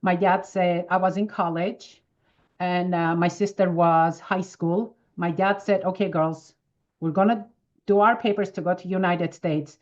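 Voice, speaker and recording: A woman in her fifties from Armenia, speaking English with an Armenian accent, telling lively stories as an interview guest. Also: fairly low pitch, measured pace, dull audio. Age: 50s. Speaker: wellness expert guest. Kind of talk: casual interview answers.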